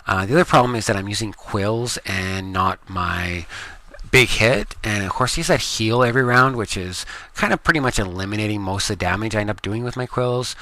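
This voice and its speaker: A Canadian man talks through his gameplay in a loose, rambling way, filling pauses with ums. His voice sits low yet comes across bright and forceful. The sound is dry and close, with no room echo. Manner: relaxed, rambling, many ums. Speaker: gaming video creator. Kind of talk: casual gameplay commentary. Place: home room with computers.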